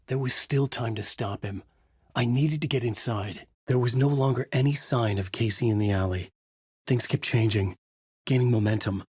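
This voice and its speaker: An actor playing a haunted male horror writer who narrates in a measured, brooding way in a dry studio booth. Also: mid-range voice, a little mellow, husky, no echo, natural voice. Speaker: video game character narrating. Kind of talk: game voice lines, dark narration. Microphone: studio mic.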